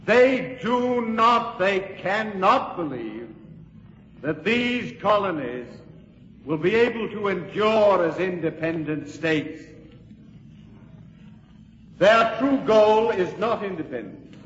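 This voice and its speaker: Colonial statesman in old radio play, slow grand oratory, big echoey hall. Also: high-pitched, expressive, a bit bright, muffled recording, a little hiss or hum, full character voice. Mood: grave, accusing. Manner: grand, deliberate, pleading orator. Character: impassioned colonial statesman arguing against independence. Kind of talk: 1940s radio acting, formal oratory.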